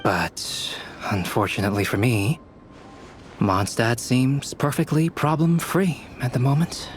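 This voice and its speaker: Thoughtful young male scholar, played by an actor: reserved, soft-spoken game delivery, mid pitch, music underneath. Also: steady tone, a little slow, lightly acted. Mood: pensive. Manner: soft-spoken, reflective, polite. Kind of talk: video game voice acting.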